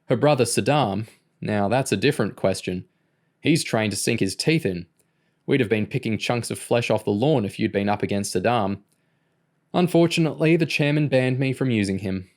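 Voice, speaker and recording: A man's voice: moderate pace, darkish tone, lightly acted. Guy doing a big mean instructor in an audiobook, bawling, sarcastic, taunting everyone. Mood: gloating, mocking. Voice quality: booming, bawling. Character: huge, bullying male training instructor, loud and mocking. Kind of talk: audiobook character voice.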